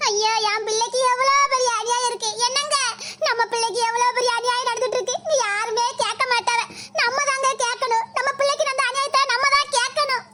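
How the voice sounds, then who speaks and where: A woman's voice: extremely high pitch, a little fast. A woman with a playing a scheming middle-aged village stepmother who wails, pleads and cries in melodramatic bursts. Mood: wailing. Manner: loud wailing, pleading, crying melodrama. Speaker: skit actor. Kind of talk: melodramatic village comedy skit.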